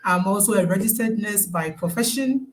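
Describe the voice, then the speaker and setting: A woman's voice: medium pitch, moderate pace, rough recording. Woman in her thirties, friendly interview guest on a video call, laughs easily. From Ghana. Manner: friendly, laughs often, chatty. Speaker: guest, nurse turned online business owner. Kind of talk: casual live-stream interview.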